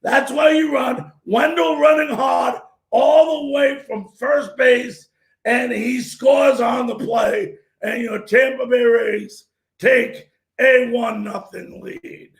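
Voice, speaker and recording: A male sports talk show host who is losing his voice and hoarse, loud and opinionated, giving his views and calling play-by-play. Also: extremely high pitch, steady tone, slow pace, echoey room. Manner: loud and opinionated, often shouting.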